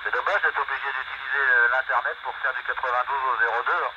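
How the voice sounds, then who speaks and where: Older male radio operator, mid-pitched, reflective and opinionated, speaking through heavy static on a muffled FM link. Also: steady tone, fast. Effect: two-way FM radio transmission. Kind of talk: casual ham radio conversation. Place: in a vehicle, mobile station. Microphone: radio transceiver microphone.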